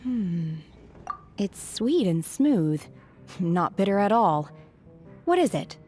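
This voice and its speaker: A woman voicing an aloof, earnest young hermit woman in a video game, speaking in a solemn, measured and literal-minded way. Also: medium pitch, sing-song, warm tone, soft background music. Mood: puzzled. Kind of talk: video game cutscene acting.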